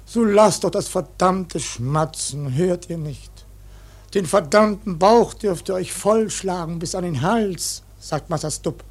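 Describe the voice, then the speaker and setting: A very old ship's cook for a radio play. He is stubborn and pious in a rough-edged way, cursing as he sermonizes. The voice is husky and a little high for a man, and he takes his time over the words. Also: slow, full character voice. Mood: preachy, scolding. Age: older. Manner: grumbling mock-preacher, swears constantly. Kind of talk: German radio play acting.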